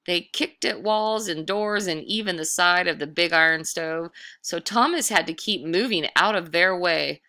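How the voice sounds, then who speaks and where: Low, creaky-voiced woman reading a story. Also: normal speed, sharp tone. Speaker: read-aloud storyteller. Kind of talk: expressive children's story narration.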